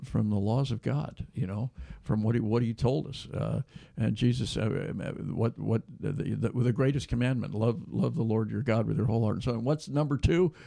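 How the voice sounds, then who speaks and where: A man's voice: medium pitch, vocal fry, dark tone, no echo, studio quality. An older man hosting a casual talk show, folksy and rambling with many restarts. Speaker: host. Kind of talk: casual interview chat.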